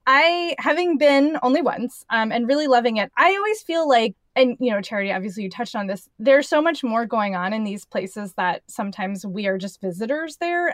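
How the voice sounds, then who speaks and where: A woman co-hosts a podcast, talking casually and loosely about books. Her voice sits a bit high. The sound is dry and close. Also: no room sound. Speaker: podcast co-host. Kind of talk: casual chat.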